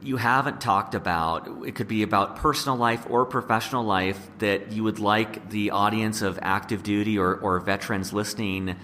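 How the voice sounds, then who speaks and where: A man's voice: fairly low pitch, fairly even intonation, normal speed, hardly any pauses, sharp tone. A man hosting a conversational interview, feeling his way tentatively into questions and groping for words. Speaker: podcast interviewer, host. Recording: long reverb, faint background noise.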